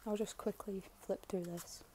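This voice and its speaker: A young woman with a slightly hoarse voice, giving low-key, halting commentary on an unboxing. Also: fairly even intonation, mellow, a little hiss or hum. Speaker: K-pop album unboxing vlogger. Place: bedroom, filming items on a bed.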